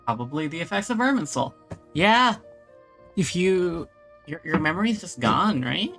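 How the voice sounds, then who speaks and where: Low, creaky-voiced woman streamer. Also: sing-song, normal speed, a bit bright, faint music behind. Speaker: game streamer. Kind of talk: casual playthrough commentary, reading and reacting.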